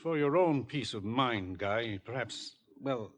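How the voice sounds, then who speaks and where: A man's voice: medium pitch, lively intonation, slow. An actor playing a dignified, fretful older senator and father in a 1950s radio drama, formal and blustery. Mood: reassuring, proper.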